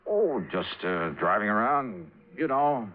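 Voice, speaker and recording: An actor playing a wry, easygoing city investigator with an American accent in an old radio detective drama, sounding casual and probing. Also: male voice, lowish voice, very animated, slow pace, narrow, tinny audio. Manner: hesitant, probing, casual questioning. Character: wry, easygoing city insurance investigator, narrating his own case. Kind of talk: mid-century American radio detective acting. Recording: thin, noisy vintage radio recording.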